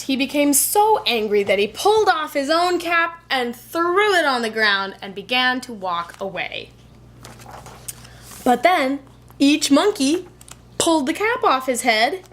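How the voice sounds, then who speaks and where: A woman preschool teacher reading a children's storybook aloud in an expressive, deliberate, rhythmic storytelling way. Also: sits high.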